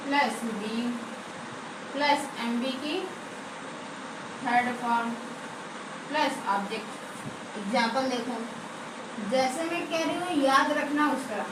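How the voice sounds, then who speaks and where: A woman teaching a grammar class, asking questions and answering them herself, dictating slowly. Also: high-pitched. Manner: asks and answers her own questions; slow and dictating. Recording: echoey room, mic far off, noisy.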